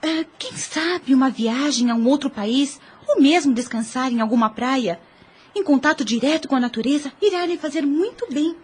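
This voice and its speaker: Woman acting devoted sister, very high pitch, encouraging, theatrical formal wording, radio play. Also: expressive, moderate pace. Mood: caring. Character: affectionate, eager, encouraging married younger sister. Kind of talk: Spiritist radio drama acting.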